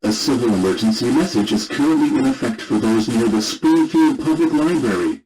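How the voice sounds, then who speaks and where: A man's voice: mid-range voice, animated, a little fast, rough recording. A man reading a scripted broadcast announcement as a radio announcer. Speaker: radio announcer and emergency alert reader.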